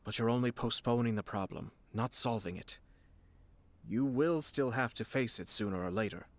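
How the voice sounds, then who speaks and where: A man playing a composed, courteous young noble clan head in a video game, speaking in a polite, measured, formal way. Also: mid-range voice, no room sound, natural voice. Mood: measured concern.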